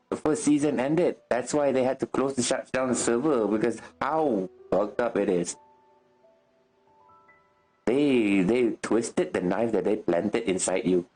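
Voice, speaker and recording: A man streams his reactions to a game in an informal, sweary way. His voice sits at an average pitch, and game music plays faintly behind his off-the-cuff commentary. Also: mellow, rough recording. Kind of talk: casual gameplay reaction commentary.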